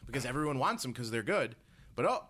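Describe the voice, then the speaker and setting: A man's voice: medium pitch, big pitch swings, quick pace, sharp tone. A man chatting casually as a co-host, blunt, sweary and enthusiastic. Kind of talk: casual, joking chat.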